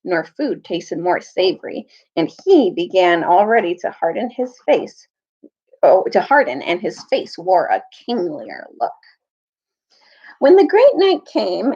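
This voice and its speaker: A woman reads a children's novel aloud with a very animated, swooping delivery. Her voice has a husky edge and she sometimes rereads a line. The audio is a little dull and lo-fi. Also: mid-range voice. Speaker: storyteller reading aloud to students.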